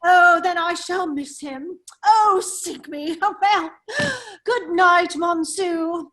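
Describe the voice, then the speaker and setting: A very high-pitched, foppish English nobleman acted by a woman in a radio play. The delivery is slow and affected, full of dithering exclamations and idle teasing. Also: a bit bright, heavily acted. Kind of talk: radio play table read.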